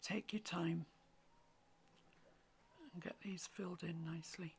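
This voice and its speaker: A woman with a croaky voice, teaching a watercolour painting tutorial in soft, often half-whispered instructions. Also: lowish voice, fairly even intonation, unhurried, slight background noise. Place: home room with a mantelpiece.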